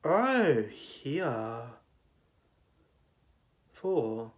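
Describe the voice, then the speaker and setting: A man giving a dramatic recitation of poetry in a declamatory manner, with long pauses between phrases. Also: mid-range voice, very animated. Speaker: poetry reader.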